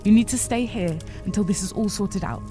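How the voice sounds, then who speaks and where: Woman as a caring but wary rabbit girl in a fantasy game, mellow soft voice, light music behind. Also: medium pitch, brisk, natural voice. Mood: tender, firm. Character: protective, wary young female rabbit, gently serious. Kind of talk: video game voice acting.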